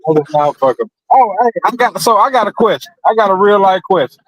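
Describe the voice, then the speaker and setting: A man's voice: high voice, lively intonation, brisk, no echo, poor audio. A man on a live stream panel, talking in blunt, slangy casual chat with some swearing.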